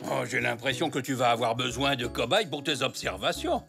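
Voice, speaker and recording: A man's voice: mid-range voice, brisk, vocal fry, sharp tone, faint music behind. A man voicing a kindly, encouraging zebra grandfather in a children's cartoon, with an indulgent manner. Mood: helpful. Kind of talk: children's cartoon dub acting.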